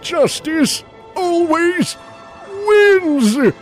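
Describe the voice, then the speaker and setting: A man's voice: very high-pitched, very animated, very slow, faint music behind, full character voice. A man playing a brash, patriotic superhero captain in an audio drama, proud, bombastic and corny. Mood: defiant.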